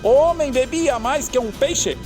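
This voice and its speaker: An inquisitive companion in an audio drama. Her voice is extremely high, with a blunt, direct and teasing delivery. Music is clearly heard beneath her. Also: measured pace, darkish tone. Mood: musing. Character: curious, blunt companion questioning the scientist.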